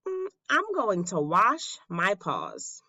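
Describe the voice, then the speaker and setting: A woman's voice: medium pitch, huge pitch swings, takes it slowly, sharp tone, heavily acted. A woman voicing a mouse girl, a patient but exasperated sister, for a children's storybook. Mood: unimpressed. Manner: sighing, unimpressed.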